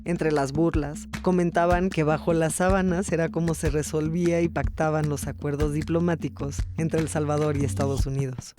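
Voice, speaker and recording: A man with a fairly high voice narrates documentary history. He reads from a script as the narrator of a podcast. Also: steady tone, moderate pace, hardly any pauses, over music.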